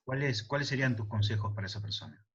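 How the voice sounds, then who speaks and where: Low, husky Argentine interviewer. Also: male voice, lively intonation, quick pace, fairly bright tone, muffled recording. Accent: Argentine Spanish. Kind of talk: interview questions.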